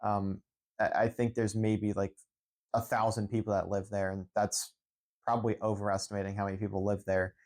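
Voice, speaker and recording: A man's voice: lowish voice, little pitch movement, a little fast, darkish tone. A young man from Central Pennsylvania talks unscripted into his phone at home, rambling with many restarts and fillers. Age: young adult. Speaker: esports head coach. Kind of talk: unscripted vlog monologue.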